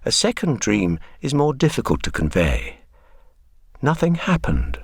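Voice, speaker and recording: A man playing a measured, wry literary narrator in a radio drama. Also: medium pitch, sing-song, creaky, husky, no echo, studio quality, exaggerated voice.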